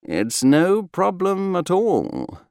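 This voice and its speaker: A man's voice: medium pitch, sing-song, slow pace, no echo, clean studio sound, pitched above the performer's own voice. A man voicing a jovial, daredevil space crewman in an audiobook, a hearty and teasing best mate.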